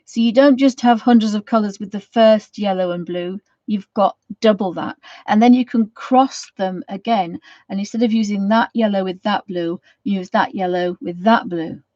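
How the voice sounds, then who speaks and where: A woman with a croaky voice from a cold, chatting casually as she gives a painting tutorial and rambling with many ums. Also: mid-range voice, moderate pace, hardly any pauses, dark tone, no echo. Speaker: art channel host. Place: home studio. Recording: dry, slightly dull sound.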